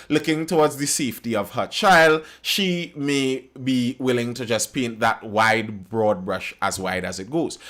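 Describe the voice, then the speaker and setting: A man's voice: a little high, fairly bright tone. A Trinidadian man hosting a live stream, commenting on news and reading viewer comments, often repeating phrases as he thinks aloud. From Trinidad and Tobago.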